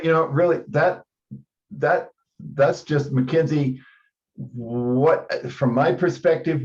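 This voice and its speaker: A man's voice: medium pitch, a little mellow. Older male meeting participant, casual delivery, middle register, slightly lo-fi call audio. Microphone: teleconference call. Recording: dull, lo-fi conference call audio.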